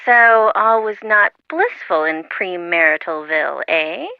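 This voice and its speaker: A woman's voice: lowish voice, measured pace, vocal fry, smooth, dry recording. An actress playing a perky, flippant young woman, a teasing friend in a video game, heard through a telephone filter. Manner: playful, teasing. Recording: muffled lo-fi telephone-style sound.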